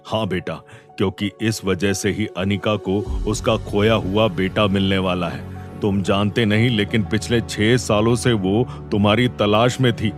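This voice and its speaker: Ageing academy master played by a male actor: low, commanding, proud, audio drama delivery over light music. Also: flat delivery, moderate pace, close to an everyday voice. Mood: gentle, pleased. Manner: authoritative, commanding. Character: stern, proud ageing martial arts master. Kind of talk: Hindi audio drama acting.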